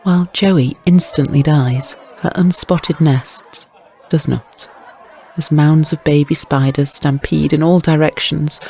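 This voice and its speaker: Guy reading a crime audiobook, lots of fry, mellow tone, wry storytelling. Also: on the high side, fairly even intonation, takes it slowly, faint music behind. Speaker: audiobook narrator. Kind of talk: scripted crime fiction narration.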